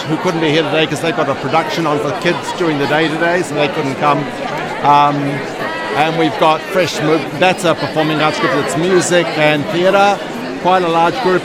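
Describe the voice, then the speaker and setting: Guy arts director chatting in an interview, kinda high voice, super noisy crowd behind him. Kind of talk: casual interview answers. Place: busy public space at a conference venue. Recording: very loud crowded venue chatter. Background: loud crowd chatter.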